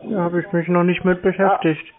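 A man in a terse, deadpan phone conversation, giving stubbornly obtuse answers. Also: high voice, fairly quick. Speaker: scam-baiter taking calls from telephone scammers. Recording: narrow tinny phone-like sound.

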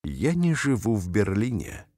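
A man reading short phrases aloud slowly and carefully, each one repeated, for language learners. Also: lowish voice, big pitch swings, a little mellow, no echo. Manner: careful, clearly spaced phrases. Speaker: language teacher. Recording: dry studio voice-over.

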